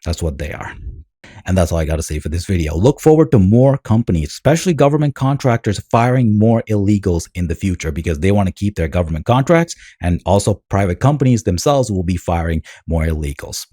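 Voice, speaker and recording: A man's voice: low-pitched, sing-song, a little fast, mellow, slightly rough, no room sound, studio quality. An American man ranting bluntly and combatively in a commentary vlog. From United States. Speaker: political commentator.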